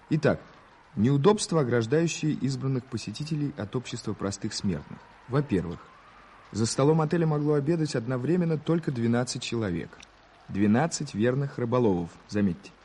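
An actor playing a curious, ironic journalist in a 1980s Soviet radio play, telling things in an emphatic, declamatory manner. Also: male voice, mid-range voice, dark tone, slightly rough. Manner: theatrical, declamatory, emphatic storytelling. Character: Mr March, curious, ironic gentleman journalist, eager raconteur. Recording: hissy old radio drama tape.

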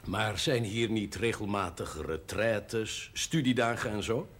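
A man's voice: fairly low pitch, measured pace, fairly bright tone. A man acting an eager, plain-spoken parish priest in a television period drama, deferential yet persuasive. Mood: insistent.

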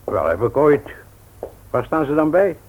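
An actor playing a stubborn, proud middle-aged bookseller of the old school, pedantic and self-important, in a Dutch radio play. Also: male voice, fairly high pitch, big pitch swings, a little slow, phone-quality sound, slight background noise. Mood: indignant, lecturing.

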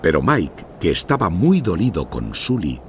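Deep-voiced, highly animated male storyteller. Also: normal speed, slight background noise. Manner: expressive, theatrical. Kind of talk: dramatic scripted narration of a children's story.

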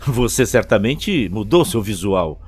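An actor playing a serious, cautious and dryly fatalistic male guide in an audio drama, speaking gravely. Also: fairly low pitch, big pitch swings, measured pace, a little mellow. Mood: accusing. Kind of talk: scripted audio drama acting. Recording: long reverb under music.

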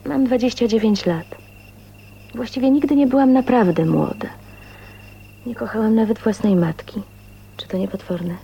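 A woman's voice: fairly high pitch, moderate pace, pauses often, warm tone, highs cut off. An actress playing a shy, wistful woman of twenty-nine in love, speaking intimately and hesitantly in a radio drama. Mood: bitter, wistful confession. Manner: dreamy, emotional, hesitant phrasing with breathy whispers. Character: shy, dreamy 29-year-old spinster, wistful and newly in love. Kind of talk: radio drama acting, intimate monologue and dialogue. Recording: faint noise, 1980s radio drama.

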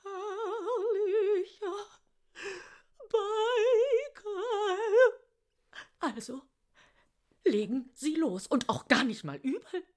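A woman's voice: very high-pitched, lively intonation, very slow, long pauses, darkish tone, exaggerated voice. An actress playing a hysterical, indignant young woman clerk in a radio play, gossiping in exasperation. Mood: agitated, exasperated. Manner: gossipy, exasperated, whispering and wailing by turns. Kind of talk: German radio play acting.

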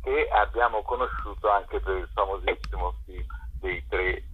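A man with a lowish voice is being interviewed as a festival curator over a muffled, lo-fi phone line. He talks haltingly and searches for his words as he answers. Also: a little hiss or hum. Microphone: telephone. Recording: dull lo-fi telephone line.

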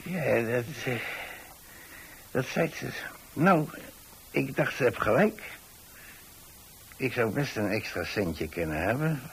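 A man's voice: medium pitch, expressive, slow pace, pauses often, a bit bright, husky, heavily acted, rough recording. An actor playing a mild, rambling old widowed pensioner with a Dutch accent, hesitantly telling his story in a radio play. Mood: pleased, musing. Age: 60s or older.

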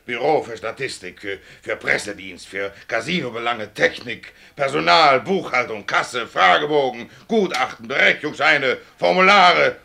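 Stubborn tram driver, sharp forceful voice. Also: male voice, mid-range voice, lively intonation, moderate pace, creaky, few pauses. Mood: indignant. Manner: blunt, emphatic, colloquial. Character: stubborn, indignant dismissed male tram driver fighting for his job. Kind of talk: German radio play acting.

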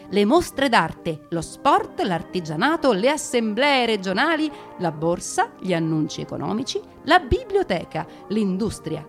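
Female narrator for dramatic memoir reading: solemn, deliberate, emphatic delivery, mid-range voice, faint music underneath. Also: mid-range voice. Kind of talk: theatrical scripted narration of wartime memoirs.

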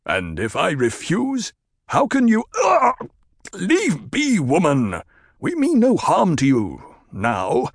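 A man doing a bellowing gruff desert chieftain. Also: a little high, wildly swooping pitch, slow pace, dry recording, studio quality, pitched above the performer's own voice, full character voice. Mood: astonished. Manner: bellowing insults.

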